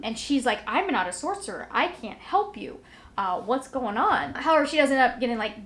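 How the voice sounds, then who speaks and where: A woman talking casually to viewers as a book vlogger, in a rambling, conversational, self-deprecating way. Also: fairly high pitch. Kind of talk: casual chatty vlog, recapping to viewers.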